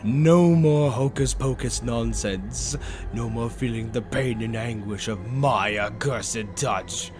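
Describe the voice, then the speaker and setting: Music plays behind a slow-talking male demon, acted out by a man, a flamboyant and sinister tempter. He addresses the listener in a roleplay monologue, stretching words for theatrical emphasis. His delivery is taunting, at an ordinary male pitch. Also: darkish tone, natural voice. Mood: grandly tempting. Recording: background music under the voice. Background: orchestral waltz-style music.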